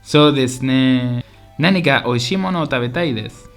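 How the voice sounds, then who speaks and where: A man playing a polite, eager tourist from Spain in a scripted language-lesson radio drama, speaking in simple, clear sentences. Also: mid-range voice, moderate pace, a bit bright, faint music behind, natural voice. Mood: polite, friendly. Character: polite, eager Spanish tourist visiting Osaka.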